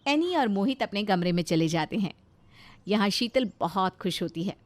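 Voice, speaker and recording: A woman's voice: mid-range voice, animated, moderate pace, no echo. A woman reading scripted narration for a family moral story.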